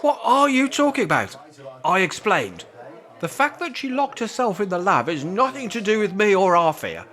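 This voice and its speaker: A man's voice: high-pitched, very animated, moderate pace, fairly bright tone. A man acting a cantankerous, sarcastic old British rebel. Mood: indignant. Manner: sardonic, grumbling. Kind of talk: radio play acting.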